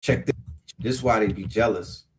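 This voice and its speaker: A man's voice: lowish voice, moderate pace, noisy background. A man hosting a show and commenting on a clip, preaching emphatically and repeating his points.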